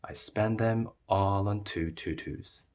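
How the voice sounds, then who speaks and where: A man narrating a children's storybook as a read-aloud, with expressive delivery. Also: on the low side, slow.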